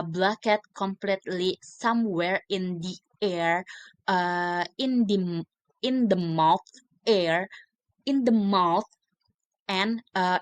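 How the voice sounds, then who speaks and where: A young woman with an Indonesian accent, a native Indonesian speaker, giving a scripted student presentation in careful, halting, hesitant English. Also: medium pitch, no echo. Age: young adult. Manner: halting, careful word-by-word delivery with frequent hesitations.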